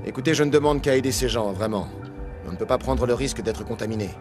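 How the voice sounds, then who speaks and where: An actor playing a weary, gruff security captain in a video game, speaking in a blunt, dry, colloquial way. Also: male voice, medium pitch, fast talker, music in the background, lightly acted. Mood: blunt, reluctant. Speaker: guard captain.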